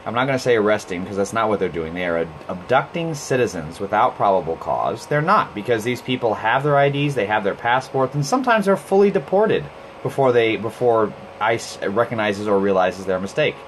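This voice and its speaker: A man's voice: lowish voice, lively intonation, a little fast, slight background noise, rough recording. A man from Costa Rica hosting a live stream, talking bluntly and heatedly in a casual monologue, swearing freely and often restarting his sentences.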